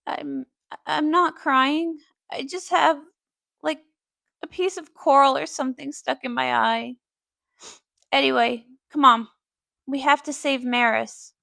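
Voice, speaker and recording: Woman as a creaky, high-pitched emotional young pony. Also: steady tone, takes it slowly, long pauses, bright, forceful tone, dry recording, clean studio sound, full character voice. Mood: flustered. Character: warm-hearted, emotional young female cartoon pony. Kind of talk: animated comic dub voice acting.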